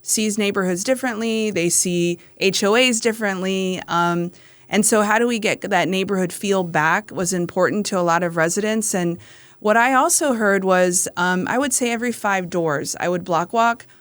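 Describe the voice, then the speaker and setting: A woman's voice: medium pitch, normal speed, fairly bright tone, dry recording. A woman from San Antonio, Texas, a city council member, talking through a casual panel conversation and telling stories about the residents she met. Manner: storytelling, acts out voices of residents.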